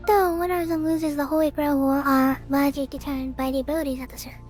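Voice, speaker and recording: A teenage girl doing a casual let's play, reading a visual novel aloud and commenting, with halting delivery and repeated words. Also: sits high, little pitch movement, a little mellow, faint music behind.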